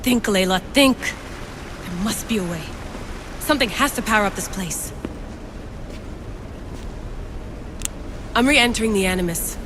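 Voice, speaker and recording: A woman's voice: medium pitch, moderate pace, background noise, lightly acted. An actress playing a determined, dry-witted woman researcher and adventurer in a video game. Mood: focused, hushed. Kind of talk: video game cutscene acting. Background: background music.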